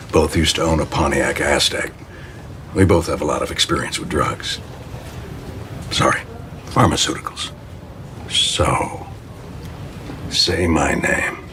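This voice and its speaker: An actor as a very deep, slow, deadpan middle-aged man. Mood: sly, deliberate. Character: shady, deadpan man over fifty, sly drug dealer. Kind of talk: dramatic screen dialogue. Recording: noisy film clip.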